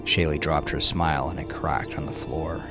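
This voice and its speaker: A man's voice: very deep voice, steady tone, moderate pace, loud music behind the voice. A man narrating a story as a dramatic scripted audiobook reading.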